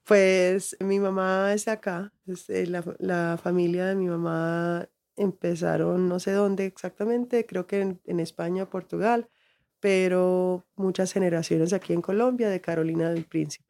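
A woman's voice: lowish voice, fairly even intonation, measured pace, warm tone. A middle-aged woman, raised in the United States, reflectively telling her life story as an interview guest, restarting phrases often. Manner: reflective, frequent restarts and laughs.

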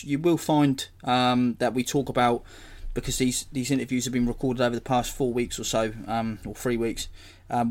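A hoarse man, hosting a casual solo podcast and rambling as he corrects himself. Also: mid-range voice. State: hoarse from illness. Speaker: podcast host.

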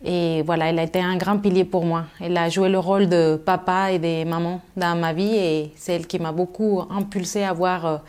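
A woman's voice: fairly low pitch, fairly even intonation, a little fast, few pauses, a little mellow, clean tone. A middle-aged woman from Mexico, answering interview questions thoughtfully with many fillers and restarts. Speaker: guest.

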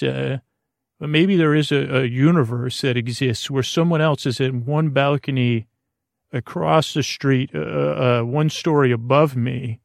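A man hosting a bedtime podcast, rambling in a halting, meandering way with false starts and tangents, in a gravelly voice. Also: mid-range voice, no echo, clean studio sound. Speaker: podcast host.

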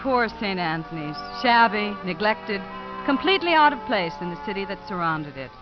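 An actress playing a wistful, warm-hearted young social worker who tells her story in a 1950s radio drama. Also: mid-range voice, sing-song, normal speed, over music, lightly acted. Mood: reflective. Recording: muffled 1950s radio recording.

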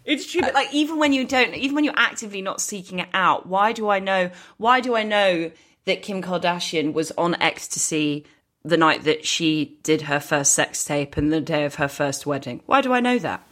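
A woman's voice: fairly low pitch, animated, normal speed, fairly bright tone. A woman co-hosting a podcast in casual chat, musing aloud and repeating phrases for emphasis. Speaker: podcast co-host.

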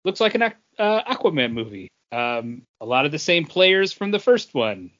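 Higher-voiced man in casual podcast chat. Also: very animated, normal speed, a bit bright, highs cut off. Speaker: podcast co-host.

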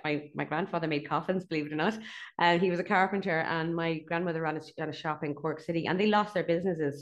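A middle-aged Irish woman, a company chief, chatting casually as an interview guest and laughing between points. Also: low-pitched. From Ireland (Dublin).

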